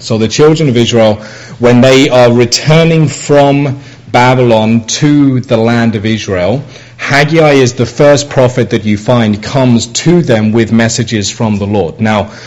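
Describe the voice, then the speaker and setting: A man's voice: mid-range voice, darkish tone. English man preaching a casual sermon. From England. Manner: conversational with frequent fillers and restarts. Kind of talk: sermon with personal anecdotes.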